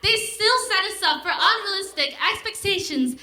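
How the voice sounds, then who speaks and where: A woman's voice: extremely high pitch, animated, normal speed, sharp tone. A teenage girl from the United States performing a spoken word piece on stage with a handheld mic, speaking in an emphatic, declamatory way. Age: teens. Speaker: student performer. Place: large theater stage. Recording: roomy theater sound.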